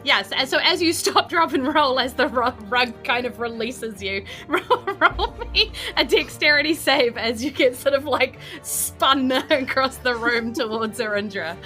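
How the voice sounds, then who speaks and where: Bright-voiced New woman game master. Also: high voice, expressive, a little slow, hardly any pauses. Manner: conversational, improvising. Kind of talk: narrating a tabletop role-playing game. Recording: music behind the voice. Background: background music.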